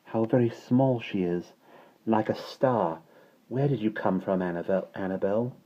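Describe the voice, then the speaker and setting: A man's voice: fairly high pitch, big pitch swings, fairly quick, darkish tone, slightly rough, highs cut off, voice pushed up. A man voicing an eager, tender little girl in a storybook, exclaiming breathlessly. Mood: awed.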